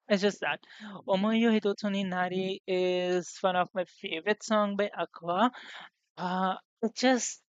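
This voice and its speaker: A young woman VTuber chats casually on stream, rambling and restarting her sentences. Her voice sits a bit low and sounds slightly hoarse. The recording is dry and a little dull, as from a modest desk mic. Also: no room sound. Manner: rambling, chatty, many fillers and restarts. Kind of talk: casual debut stream chat.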